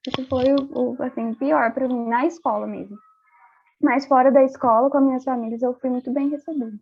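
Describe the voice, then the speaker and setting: Young Brazilian woman guest, chatty, laughs a lot, high voice, tinny phone-like sound. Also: fairly even intonation, fairly quick, creaky, husky. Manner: chatty, laughs often, many fillers. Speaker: interview guest, former exchange student. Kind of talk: casual interview chat.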